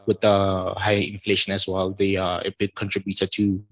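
A man's voice: deep voice, completely flat delivery, moderate pace, fairly bright tone. A man asking questions from the audience, hesitant with many ums.

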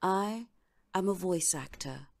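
Low, gravelly woman, slow theatrical voice-over. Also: expressive, darkish tone. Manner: deliberate, theatrical delivery with measured pauses. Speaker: voice-over artist. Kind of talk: scripted dramatic monologue, voice-over demo.

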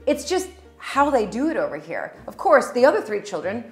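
A woman's voice: on the high side, big pitch swings, brisk, roomy sound, soft background music. An American woman in her forties hosting her own channel, chatting to camera from a farmhouse kitchen with a lapel mic, laughing and using lots of fillers. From United States. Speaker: channel host. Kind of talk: casual chat to camera.

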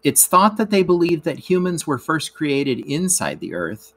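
A man reading a scripted educational narration aloud like a teacher, in a measured, explanatory way. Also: a little high, very animated.

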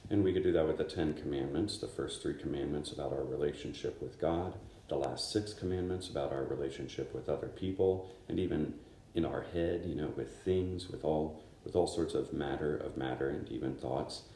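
A man in his fifties, a priest, reading the Gospel and giving a conversational, unhurried homily in a small chapel. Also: extremely low pitch, creaky, warm tone, echoey room. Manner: conversational, unhurried preaching with gestures. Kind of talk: homily at daily Mass. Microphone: camera in front of him.

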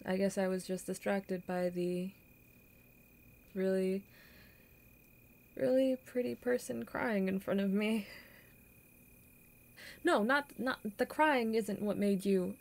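A young woman playing a kind, awkward popular girl in an audio roleplay, with halting, stammering, flustered delivery. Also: medium pitch, gravelly, darkish tone. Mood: flustered, amused. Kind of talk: intimate audio roleplay acting.

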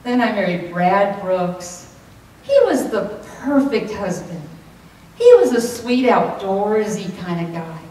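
A middle-aged woman performing a comedic tall tale on stage, with theatrical, deliberate delivery and dramatic pauses. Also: medium pitch, expressive, warm tone. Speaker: speech contest contestant. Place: hotel banquet room, indoors. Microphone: far camera microphone. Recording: echoey room from far off, some noise.

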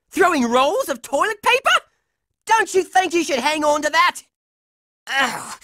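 A man's voice: very high voice, pushed and bright, no room sound, clean studio sound, full character voice. A man voicing a brash, hot-headed young boy fighter in a cartoon video game, belligerent and exclamatory. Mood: exasperated.

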